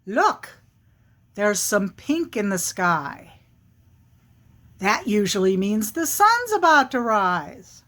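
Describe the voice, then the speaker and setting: A woman's voice: mid-range voice, sing-song, slow pace, long pauses, fairly bright tone. A woman voicing a mild, watchful, musing owl in an animal folktale.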